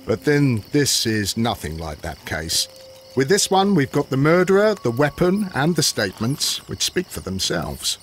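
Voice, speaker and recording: An actor playing a dutiful Victorian London police constable with an English accent, formal and deferential in a video game. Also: male voice, mid-range voice, huge pitch swings, unhurried, a little hiss or hum. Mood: earnest, assured. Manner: deferential, formal witness reporting. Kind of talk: scripted video game acting.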